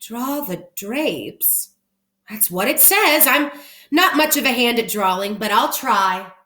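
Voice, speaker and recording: A cheerful, folksy housekeeper from a children's storybook, played by a woman reading aloud. She takes everything literally and chatters to herself, her pitch swinging in a lively way. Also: slow pace, roomy sound, full character voice. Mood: puzzled.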